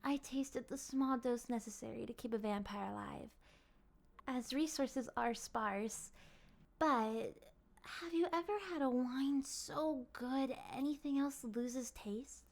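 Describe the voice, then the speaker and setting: A woman playing a possessive, lovestruck vampire in an ASMR roleplay, speaking in formal, ornate, pleading phrases that often slip into breathy whispers. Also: sits high, slow, exaggerated voice. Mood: enraptured, confiding. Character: obsessive, courtly, possessive female vampire in love.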